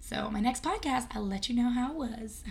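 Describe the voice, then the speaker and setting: A woman's voice: a little high, vocal fry. A young woman co-hosting a podcast, bantering and teasing her friend in a casual chat. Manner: teasing, jokey, quick banter. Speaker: podcast co-host.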